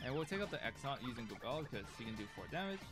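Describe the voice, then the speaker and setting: A man's voice: medium pitch, sing-song, very fast. Chatty man, game music behind. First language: Cantonese. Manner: chatty, thinking out loud. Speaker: gaming streamer. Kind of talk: casual commentary while playing. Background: video game music.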